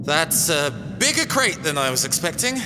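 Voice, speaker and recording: An actor playing a nerdy, nervous young tech expert in an audio drama, hesitant and dryly sarcastic. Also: male voice, on the high side, big pitch swings, normal speed, noisy background. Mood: uneasy, curious. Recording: long reverb, loud music behind.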